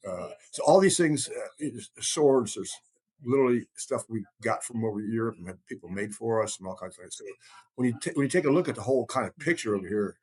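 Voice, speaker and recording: A man co-hosting a podcast, chatting casually in a rambling, halting way, often circling back on his words. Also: mid-range voice, lively intonation, darkish tone, husky. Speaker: podcast co-host, heathen group leader.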